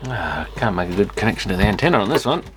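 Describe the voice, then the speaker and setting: Male, lowish voice, casual hands-on vlogger muttering as he tinkers; noisy camera-mic audio with clicks. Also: very animated, quick pace. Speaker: electronics repair vlogger. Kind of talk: casual off-the-cuff commentary while inspecting gear. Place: electronics repair workshop.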